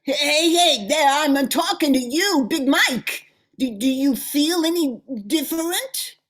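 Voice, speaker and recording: A woman's voice: sits high, slow pace, a bit bright. A woman playing a menacing, commanding female crime boss in an old-time radio thriller, tough and theatrical. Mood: suspicious, alarmed.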